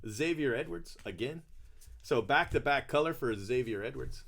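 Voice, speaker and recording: A man's voice: mid-range voice, expressive, moderate pace, gravelly, a bit bright. A man hosting a sports card break, chatting casually, laughing often and calling out player names as he opens packs.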